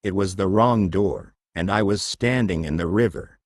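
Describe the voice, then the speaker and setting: A man reading a children's textbook story as scripted narration, in a measured, clear manner meant for learners. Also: low voice, no room sound, studio quality.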